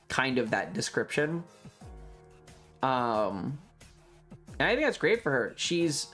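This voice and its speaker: A streamer chatting casually to a live audience in a rambling, opinionated, emphatic way with plenty of swearing. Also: mid-range voice. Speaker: livestreamer. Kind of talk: casual chat to a livestream audience.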